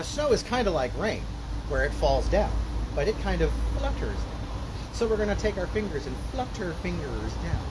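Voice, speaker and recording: A man's voice: a little high, noisy background. A man hosting a children's story time, speaking and singing in an informal, encouraging, instructional way. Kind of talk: casual live children's performance, talking to families and singing along with ukulele. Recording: live ukulele music in the recording. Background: ukulele playing.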